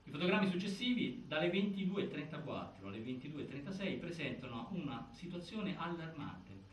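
A man performing as a solemn theatre narrator, reciting a case file in formal, deliberate, declamatory phrases. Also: on the high side, very animated. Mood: grave, insistent. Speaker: narrator in a stage inquiry play. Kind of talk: scripted theatrical documentary narration. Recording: echoey, distant, faint noise.

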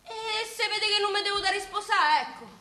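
A woman's voice: very high voice, moderate pace, bright, forceful tone. An actress playing a tender, plain-spoken Roman working-class woman of the 1940s in a naturalistic dialect radio drama. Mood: troubled, hesitant. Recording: roomy echoing sound, faint noise.

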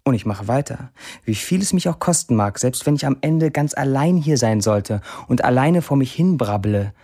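Young syrian guy, sardonic and deadpan, disillusioned radio drama monologue, medium pitched voice. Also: moderate pace. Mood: stubborn. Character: young Syrian man, sardonic, disillusioned social media diarist.

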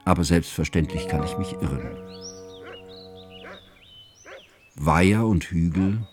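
An actor playing an earnest, scholarly friend narrating a composer's life in a formal, measured literary radio drama style. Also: male voice, sits low, music in the background, lightly acted. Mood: pondering.

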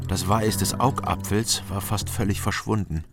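An actor playing a guarded, dry-humoured hero who narrates a radio crime drama in terse sentences. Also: male voice, fairly low pitch, fairly even intonation, moderate pace, music in the background, close to an everyday voice. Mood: laconic, tense. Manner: terse, laconic, wry. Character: dry, guarded male hero telling his own story. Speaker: first-person narrator. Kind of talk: scripted noir crime narration.

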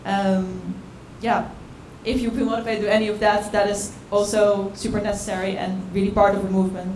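A woman speaks at a panel event in a casual, chatty way, with many filler words. Her pitch stays flat. Her voice rings through an echoey hall with some background noise. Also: fairly quick. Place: event venue.

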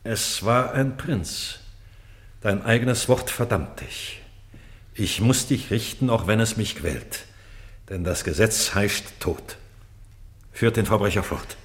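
A man's voice: fairly low pitch, slow pace. An actor playing a stern yet grieving king torn between law and mercy, declaiming solemnly in a classical radio drama. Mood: solemn, pained.

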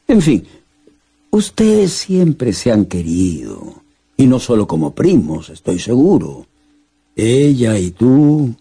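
An elderly father speaks gently and solemnly in a radio drama. The voice is badly husky and dark, with slow, halting phrases that rise and fall widely. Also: medium pitch, huge pitch swings, exaggerated voice. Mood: gently probing. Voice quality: husky, raspy.

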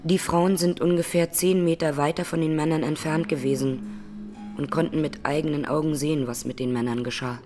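An actress playing a grieving Armenian massacre survivor who testifies in a radio drama courtroom, plainly, solemnly and with measured words. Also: female voice, low voice, steady tone, over music, lightly acted. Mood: grave, sorrowful.

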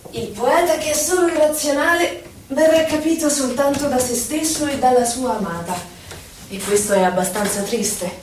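An actress playing an earnest, sententious voice of a poet's thought, reciting on stage in measured, declamatory phrases. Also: female voice, high voice, slight background noise, close to an everyday voice. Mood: earnest, pensive. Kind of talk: theatrical poetry recitation. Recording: echoey hall from far off, faint music. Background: faint music, violin.

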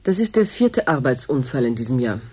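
A husky, detached official doctor. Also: female voice, very deep voice, very animated, fairly quick, darkish tone, full character voice. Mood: brisk, official. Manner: matter-of-fact, probing. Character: detached, bureaucratic female doctor in charge. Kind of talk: German radio drama acting.

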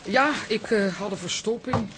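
A woman's voice: mid-range voice, normal speed, close to an everyday voice. A woman playing a chatty, cheeky older aunt with a Dutch accent in a comic radio play, folksy and gossipy. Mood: evasive.

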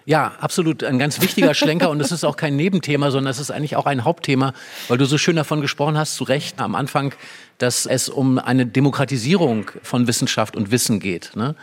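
A man's voice: medium pitch, fairly quick. A man discussing a play in an emphatic, explanatory, analytical way. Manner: explanatory, emphatic, acts out short quotes. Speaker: literary discussion speaker.

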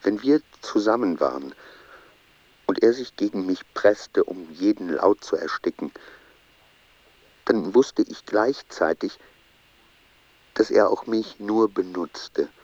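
A slow, brooding man confessing. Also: on the low side, animated, a little mellow, dry recording, muffled recording. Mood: brooding, haunted. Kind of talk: literary radio play monologue.

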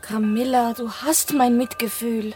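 A woman's voice: fairly high pitch, flat delivery, unhurried, darkish tone, far from the mic, soft background music, close to an everyday voice. An actress playing a devoted, anxious, tender young lady in a radio play.

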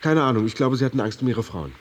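A man's voice: medium pitch, expressive, quick pace, close to an everyday voice. An actor playing a condemned prisoner in a German radio drama, a politely scheming, boastful womanizer who talks in formal, elaborate phrases like an earnest salesman. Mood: sheepish, polite.

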